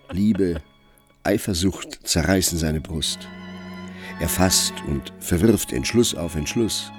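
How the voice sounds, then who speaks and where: A man with a deep voice narrates a literary radio drama. His storytelling is slow and measured, and music plays clearly behind him. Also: a little mellow.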